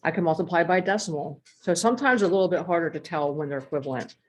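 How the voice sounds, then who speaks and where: A woman teaching a math lesson while losing her voice, clearing her throat and walking through examples step by step. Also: on the low side, racing.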